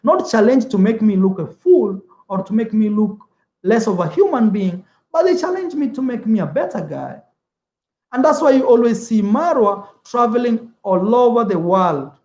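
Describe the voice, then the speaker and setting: A man's voice: sits high, lively intonation, moderate pace, a little mellow, muffled recording. Chatty young African man in an echoey room. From East Africa. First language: Swahili. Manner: rambling, repeats words, says "man" often. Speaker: travel vlogger. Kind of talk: casual livestream chat.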